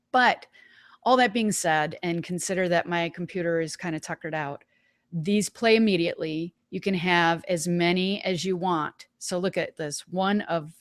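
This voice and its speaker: A woman hosting a webinar, giving a casual live software demonstration in an informal, folksy way, thinking aloud. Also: lowish voice, no echo, clean studio sound. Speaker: webinar host.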